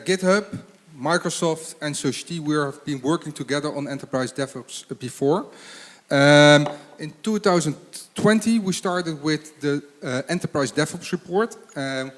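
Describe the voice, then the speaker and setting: A man, a consultant, presenting at a conference, speaking haltingly with many fillers and restarts. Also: a little high. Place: conference hall with audience. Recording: long hall reverb.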